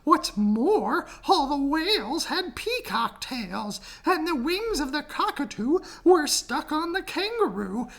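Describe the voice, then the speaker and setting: Squawky high bird voice, all flustered and pleading, kids book. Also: female voice, sing-song, takes it slowly, hardly any pauses, a bit bright, heavily acted. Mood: fretful complaining. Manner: shrill, squawking, dramatic pleading. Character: flustered, pleading flock of birds in a storybook.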